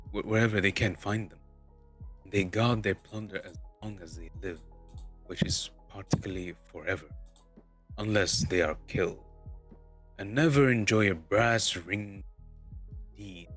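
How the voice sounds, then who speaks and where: A man voicing a proud, impatient dwarf leader in a storybook reading, with grandiose, emphatic, halting delivery. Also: lowish voice, close to an everyday voice. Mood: bitter.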